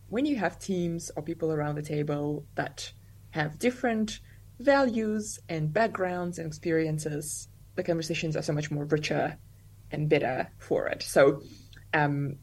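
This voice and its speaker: Chatty young woman, low voice. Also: a little mellow. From Russia. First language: Russian. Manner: chatty, many "like" fillers, laughs easily. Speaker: guest. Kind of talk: casual interview chat.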